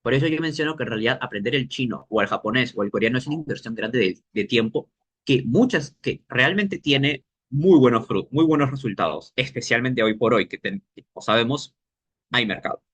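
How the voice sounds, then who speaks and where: A man teaching an informal language workshop, explaining as he goes and pausing to search for words. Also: mid-range voice, lively intonation, a bit bright, husky.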